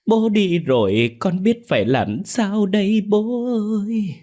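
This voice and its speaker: A man voicing a scheming relative who fakes loud, overdone wailing at a funeral. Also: sits high, big pitch swings, takes it slowly, pitched above the performer's own voice, exaggerated voice.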